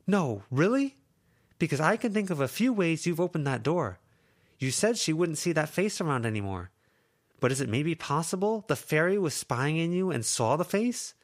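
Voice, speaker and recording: A man's voice: a little high, sing-song, normal speed, pitched above the performer's own voice. A man voicing an exasperated teenage girl who scolds her friends with tense, pleading frustration. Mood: frustrated scolding.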